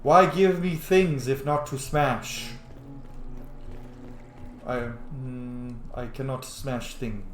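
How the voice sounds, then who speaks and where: A man's voice: mid-range voice, fairly even intonation, takes it slowly, long pauses, faint music behind. A man giving casual, sweary gameplay commentary, thinking aloud and roleplaying as he reacts to the game. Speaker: gamer, streamer. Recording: roomy, faintly noisy home recording.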